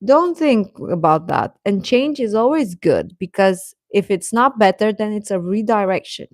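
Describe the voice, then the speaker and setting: Woman, medium pitch, casual livestream advice, earnest, reassuring, repetitive for emphasis, dry close sound. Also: lively intonation, normal speed, no room sound. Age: adult. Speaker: live streamer giving advice to teenage viewers.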